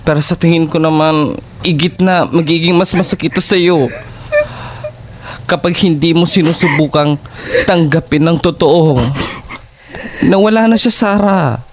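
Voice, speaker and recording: A man playing a worried, caring young female friend, gentle and hesitant, in an audio horror drama. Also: a little high, measured pace, pauses often, a little hiss or hum, close to an everyday voice. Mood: gentle, sad.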